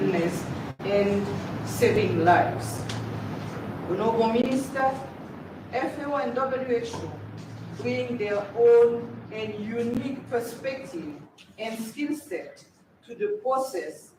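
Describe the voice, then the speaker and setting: A woman reading a formal prepared speech as an agency representative, slow and careful. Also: fairly high pitch. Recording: echoey hall from far off with noise.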